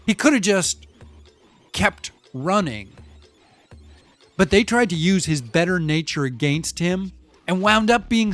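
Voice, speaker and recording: A man's voice: a little high, fairly bright tone. A man playing a rattled, defensive young eyewitness in an audio drama, telling his story in a casual, slangy, confiding way. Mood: wry, emphatic. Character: rattled, defensive young male eyewitness, street-talking and wry. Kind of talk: first-person audio fiction monologue, conversational storytelling.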